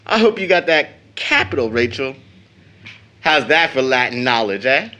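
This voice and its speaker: An actor playing a proud, teasing teenage son in an audio drama of an early 1900s play, with an American accent and a theatrical, boyish manner. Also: male voice, medium pitch, sing-song, moderate pace, sharp tone. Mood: teasing, playful. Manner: theatrical, jokingly pompous with slangy boyish talk.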